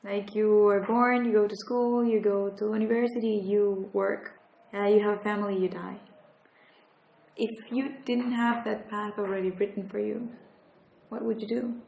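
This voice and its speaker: A woman's voice: medium pitch, steady tone, moderate pace, gravelly, darkish tone, slight background noise. A woman interviewing a guest, asking open questions casually and often saying "like". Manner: casual, searching, leans on "like". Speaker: interviewer, documentary maker. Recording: echoey room.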